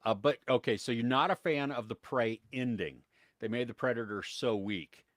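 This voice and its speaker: A man's voice: mid-range voice, moderate pace, dry recording, studio quality. An American man co-hosting a live podcast, chatting casually about movies and reading viewer comments, with lots of fillers and frequent laughs. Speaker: podcast co-host. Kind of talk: casual live-stream chat.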